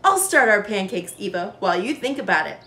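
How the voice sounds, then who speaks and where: A woman's voice: medium pitch, sing-song, a little fast, pitched below the performer's own voice. A woman voicing a kindly, teasing grandfather in a children's storybook. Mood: cheerful. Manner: teasing, gentle, twinkly. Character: kindly, playful doting grandfather. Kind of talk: children's storybook read-aloud.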